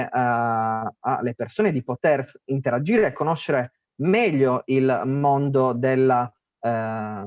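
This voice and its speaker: A man's voice: medium pitch, darkish tone, no room sound. A man with a Sardinian Italian accent presenting a radio festival, talking casually with many fillers and restarts. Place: remote online call.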